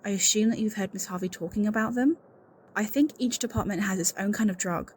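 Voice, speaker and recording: A woman's voice: medium pitch, a little fast, a little hiss or hum, close to an everyday voice. A woman playing an anxious, suspicious secretary in an audio roleplay, speaking in an earnest, confiding and insistent way. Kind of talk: scripted audio roleplay acting, one-sided conversation.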